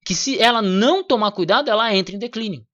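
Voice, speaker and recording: A man's voice: sits high, expressive, quick pace, sharp tone, dull audio. A Brazilian man with a Brazilian Portuguese accent, hosting a casual opinion monologue in a blunt, slangy manner with free swearing. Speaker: channel host.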